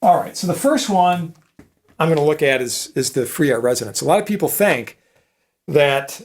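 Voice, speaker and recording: A man's voice: fairly high pitch, lively intonation, moderate pace. A man giving an informal technical lecture in a conversational, explanatory manner, often checking understanding with short tag questions. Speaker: electronics professor.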